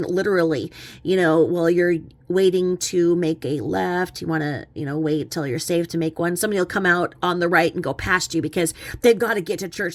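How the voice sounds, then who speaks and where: A woman's voice: on the low side, no echo. A woman giving casual, mocking reaction commentary in a snarky, rambling, chuckling way. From lives in a small religious community. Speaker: commentary vlogger.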